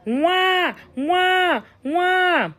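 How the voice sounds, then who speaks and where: A woman's voice: very high-pitched, expressive, drawn-out words, a bit bright, voice pushed up, heavily acted. A woman voicing a small wicked bush baby creature that lures with whispered, wailing pleas in a horror folktale.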